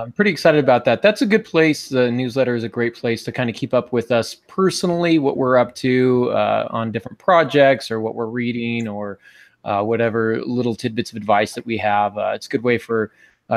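Guy in his 40s hosting a writing podcast, chatty n easygoing, dry room sound, normal pitch. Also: a little fast, dry recording. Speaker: podcast host and writing teacher. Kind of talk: conversational podcast talk on writing craft. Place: small home office, indoors.

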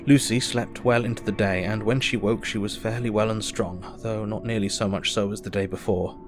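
A man plays a weary Victorian doctor reading his diary in an audio drama. His voice is lowish, with measured, formal and reflective delivery over clear music. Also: fairly even intonation, close to an everyday voice. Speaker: actor.

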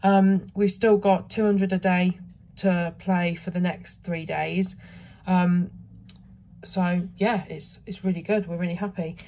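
A woman with a croaky voice from a cold, chatting casually and rambling through a travel vlog with frequent ums. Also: lowish voice, level tone, takes it slowly, warm tone, a little hiss or hum. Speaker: travel vlogger.

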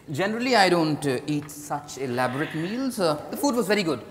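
Earnest Indian male writer in love, played by an actor, theatrical, highly expressive, staged reading in a reverberant hall. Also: brisk, background noise. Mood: polite. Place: on stage in a large hotel ballroom. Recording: echoey ballroom stage, distant hall sound.

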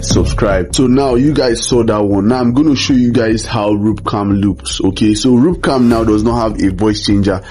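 Low-pitched man over background music. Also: a little mellow. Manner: frequent "okay", "right", "you understand" check-ins. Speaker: tutorial host. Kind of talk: casual software tutorial. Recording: music behind the voice.

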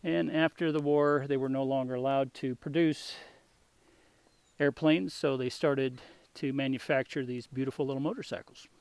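A man walks a buyer through a restored classic motorcycle in an easy, unhurried sales patter with a dry wit. His voice sits at a middling pitch, and the sound is close and dry with little room around it. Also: fairly even intonation, a little mellow, clear, resonant tone, no room sound. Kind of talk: off-camera sales walkthrough.

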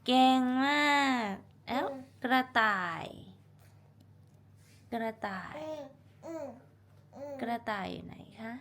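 Mother, high voice, unhurried, simple repetitive encouraging talk to small children. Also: vocal fry, fairly bright tone. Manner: encouraging, repeats words and praise. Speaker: mother talking with her young children. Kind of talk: simple teaching talk to toddlers.

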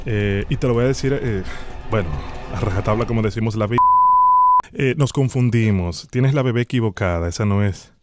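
A man's voice: on the low side, normal speed, a little mellow, faint music behind. Hesitant man on a prank call. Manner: hesitant, stammering, apologetic. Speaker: prank-call host posing as a hospital doctor. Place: small indoor home studio. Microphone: close studio microphone on arm with headphones.

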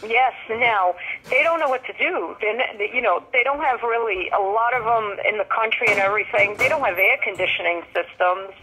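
A woman's voice: fairly high pitch, a little fast, sharp tone. A woman working as a call centre agent, chatting casually on a telephone call. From United States. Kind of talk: casual chat on a customer phone call. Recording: dull, noisy telephone line.

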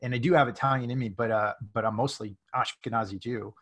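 A middle-aged man hosting a podcast interview, relaxed and friendly, often ending with "right?". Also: medium pitch, fast talker, husky. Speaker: podcast host. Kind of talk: casual conversational interview. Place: home office. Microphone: video call.

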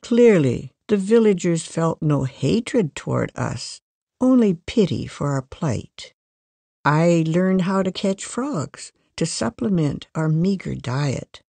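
A voice reading a first-person life story from a magazine in a measured, steady way. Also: medium pitch, vocal fry, breathy edge, dry recording.

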